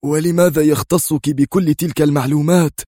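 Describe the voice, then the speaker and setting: A man voicing an edgy, irritable male operative in an audiobook, with a sharp, agitated delivery. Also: a little high, fairly even intonation, a little fast, no room sound, clean studio sound, pitched above the performer's own voice, lightly acted. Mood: sharp, angry. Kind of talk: audiobook character voice.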